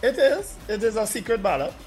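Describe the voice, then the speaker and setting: A man's voice: sits high, sing-song, fairly quick, a little mellow, soft background music. A man talking politics on a radio show, emphatic with dialect grammar. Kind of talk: casual political chat. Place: radio studio.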